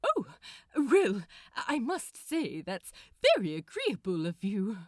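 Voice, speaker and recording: Woman acting a flustered, prim male angel, loud protests. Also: mid-range voice, huge pitch swings, slow, dry recording, clean studio sound, exaggerated voice. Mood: tentative, polite. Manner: prim, old-fashioned, spluttering protests.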